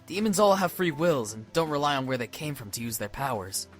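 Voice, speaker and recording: A man's voice: mid-range voice, big pitch swings, brisk, faint music behind, lightly acted. A man voicing an earnest young demon in a visual novel, explaining things emphatically and reassuringly.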